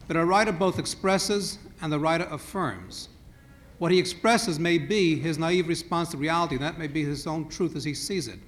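A man's voice: a little high, a bit bright, slight background noise. A man responding at a panel discussion, precise and argumentative, carefully drawing distinctions. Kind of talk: analytical debate remarks.

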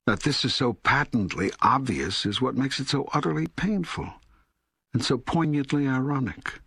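A man voicing God as a calm, authoritative teacher in an audiobook, raspy, deliberate and solemn. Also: medium pitch. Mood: solemn, explaining. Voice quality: husky, raspy. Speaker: audiobook narrator. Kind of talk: scripted audiobook dialogue reading.

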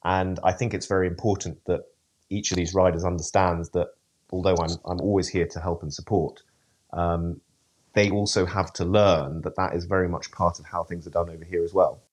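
Deep-voiced male interviewee, halting and thoughtful. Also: level tone. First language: English. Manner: hesitant, many fillers and restarts. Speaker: event rider, interview guest. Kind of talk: podcast interview.

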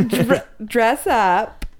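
Giggly woman with lively, high pitch. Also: drawn-out words, heavy background noise. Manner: giggly, often breaking into laughter. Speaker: podcast co-host. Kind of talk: casual comedic chat.

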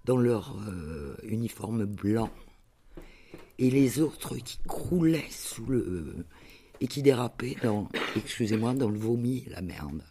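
A man giving spontaneous spoken testimony, halting as he searches for his words. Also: fairly low pitch, expressive, dark tone, a little hiss or hum.

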